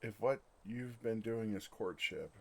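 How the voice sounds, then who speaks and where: A man acts out an ancient male dragon for an ASMR fantasy roleplay. The voice sits fairly low and moves very slowly, with halting pauses. He comes across as gentle, earnest and bewildered, a flustered creature oblivious for all his years. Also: husky, exaggerated voice. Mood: flustered realization.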